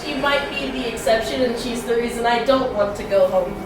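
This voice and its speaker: A woman's voice: on the high side, brisk, reverberant, noisy background, close to an everyday voice. A woman playing a stubborn runaway teen girl. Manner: evasive, stubborn, apologetic. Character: defiant runaway teenage girl squatting in a house. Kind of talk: audio drama acting.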